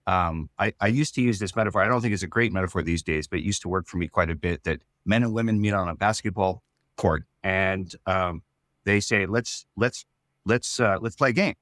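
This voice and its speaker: A man speaking as a writer in a casual interview, explaining his ideas in a thoughtful, halting way. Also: deep voice, fairly bright tone, no room sound, clean studio sound. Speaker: writer and storyteller.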